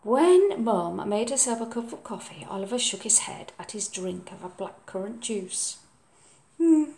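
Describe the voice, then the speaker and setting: A woman reading a children's story aloud in a measured, expressive way. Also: medium pitch, vocal fry. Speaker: storybook reader.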